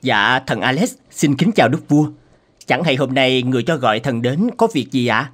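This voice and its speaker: A man's voice: mid-range voice, lively intonation, moderate pace, natural voice. An actor playing a deferential royal coachman in a fairy-tale cartoon, respectful, hesitant and anxious to please.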